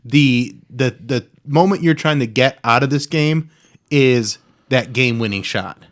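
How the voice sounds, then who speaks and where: A man co-hosting a podcast in casual sports chat, making his case in a halting way with repeated words. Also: mid-range voice. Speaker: podcast co-host.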